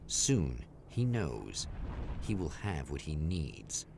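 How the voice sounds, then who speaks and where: A solemn narrator of an old-style mystery TV show, very low in pitch. He is portentous and unhurried, with dramatic swells in his intonation. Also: big pitch swings, creaky, noisy background, exaggerated voice. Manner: portentous, measured. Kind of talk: dramatic scripted narration, vintage mystery TV pastiche.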